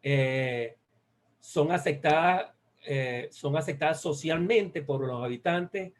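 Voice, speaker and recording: A Venezuelan man presents research in a slightly high voice. He speaks in a halting way, doubling back on phrases and searching for the right word as he explains. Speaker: guest speaker. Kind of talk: academic presentation on a research study.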